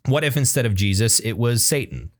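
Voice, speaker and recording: A man hosting a casual podcast discussion about music, speaking in a halting way as he searches for his words. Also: medium pitch, animated, dry recording, clean studio sound. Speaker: podcast host.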